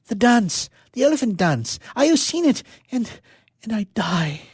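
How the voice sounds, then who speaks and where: Man putting on a high-pitched exhausted young boy. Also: big pitch swings, unhurried, slightly rough, no echo, studio quality, exaggerated voice. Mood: faint, awestruck. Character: exhausted, awestruck young native elephant-driver boy.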